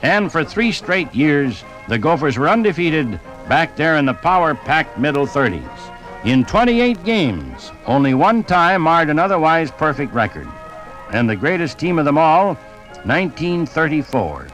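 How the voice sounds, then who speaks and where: A man narrates sports history for 1950s radio. His voice sits a little high and rises and falls with plenty of animation, in a scripted broadcast manner. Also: sing-song, measured pace, a bit bright, faint music behind, low-quality recording.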